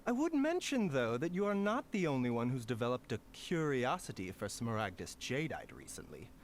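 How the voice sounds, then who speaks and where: A man's voice: mid-range voice, very animated, moderate pace, a little hiss or hum, close to an everyday voice. Casting: male actor as a polite, knowing apothecary for a fantasy game; mid-range, swooping delivery, genteel and wordy. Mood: polite, probing. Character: suave, courteous, knowing male pharmacist doctor. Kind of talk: scripted game dialogue.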